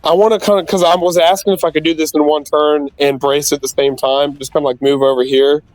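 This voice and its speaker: A man's voice: fairly high pitch, level tone, fast talker, a little mellow, no echo, rough recording. Young man player, hesitant and hedging. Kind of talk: casual tabletop play.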